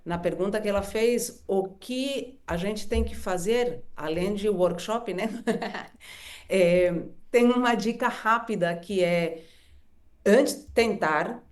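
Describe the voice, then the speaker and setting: A woman facilitator on a live stream, speaking gently and reflectively about relationships, often ending thoughts with soft tag questions. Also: medium pitch, slow. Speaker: workshop facilitator. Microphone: live stream from home.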